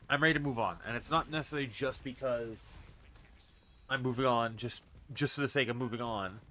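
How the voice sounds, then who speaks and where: A man's voice: mid-range voice, bright, forceful tone, a little hiss or hum. A man from Massachusetts with a faint Boston accent and a stuffy, congested voice, rambling through a casual vlog in his bedroom. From Massachusetts, USA. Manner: rambling, with many restarts and fillers. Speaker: streamer and vlogger. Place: his bedroom with a fan running. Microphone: desk mic on a stand.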